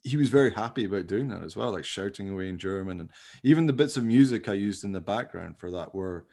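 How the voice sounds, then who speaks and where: A man's voice: on the low side, lively intonation, fast, gravelly, dark tone. A man chatting casually as a co-host, rambling along in a jokey, self-deprecating way. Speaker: podcast co-host, radio play writer. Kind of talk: casual behind-the-scenes chat.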